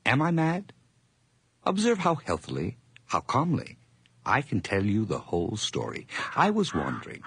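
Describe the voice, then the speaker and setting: A man playing a nervous, haunted madman drifter in a 1980s radio horror drama, halting and theatrical, dropping into whispers. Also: on the low side, gravelly, noisy background, heavily acted. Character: nervous, haunted madman drifter and farmhand telling his tale.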